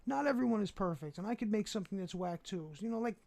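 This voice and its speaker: Animated, jokey man on a podcast. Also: high voice, fast, gravelly. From Brooklyn. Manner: animated, jokey, acts out quoted voices. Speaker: podcast guest, rapper. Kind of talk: casual chat.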